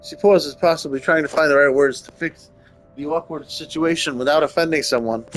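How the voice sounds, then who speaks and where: A man's voice: fairly high pitch, brisk, a bit bright, soft background music, poor audio. A man giving casual gameplay commentary and reading story text aloud, often stumbling and rereading. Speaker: gaming streamer.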